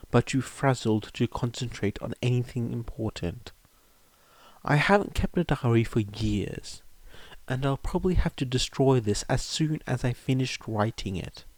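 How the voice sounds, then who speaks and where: A man's voice: medium pitch, darkish tone, no echo, close to an everyday voice. A man voicing an anxious young woman reading out her formal, confessional writing in an audiobook.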